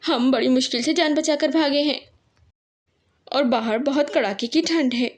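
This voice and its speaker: High-pitched woman narrating a children's story. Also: moderate pace.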